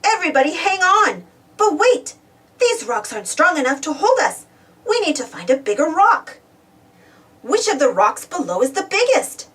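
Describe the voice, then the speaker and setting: Woman, high-pitched, lively swooping intonation, expressive read-aloud for kids, questioning and exclamatory, distant mic. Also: moderate pace. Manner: animated, exclamatory, addresses young listeners with questions. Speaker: storybook reader.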